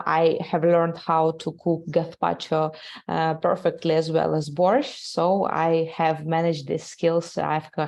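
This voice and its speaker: Ukrainian-accented woman hosting a webinar, gravelly. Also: sits low, darkish tone. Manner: halting, many "uh" fillers, non-native grammar. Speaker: webinar host, sales executive. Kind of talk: live webinar presentation.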